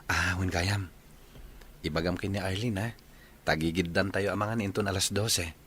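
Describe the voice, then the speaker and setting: A man's voice: low-pitched, fairly even intonation, moderate pace, fairly bright tone, slight background noise. A man playing a polite, easygoing young village suitor in a radio comedy drama.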